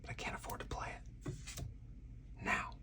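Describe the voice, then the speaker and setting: A host whispers through a solo card game play-through in ASMR style. The voice is breathy and unvoiced, set a little way from the mic, and talks through each move in order. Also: moderate pace, recorded from a distance. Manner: step-by-step narration of each move.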